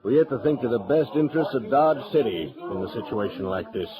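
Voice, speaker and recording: A man's voice: medium pitch, expressive, a little fast, highs cut off, lightly acted. An American actor playing an anxious, appeasing frontier businessman in an old radio western, fussy and pleading. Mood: anxious, placating. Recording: old radio broadcast with crowd murmur.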